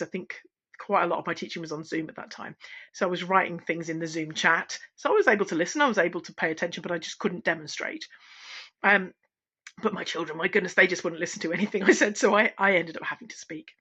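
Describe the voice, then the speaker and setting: A middle-aged woman, a singing teacher, talking candidly at home in a relaxed, unscripted vlog, pausing and restarting as she reflects. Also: on the low side, husky. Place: at home, wrapped in a blanket. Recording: dull, lo-fi sound.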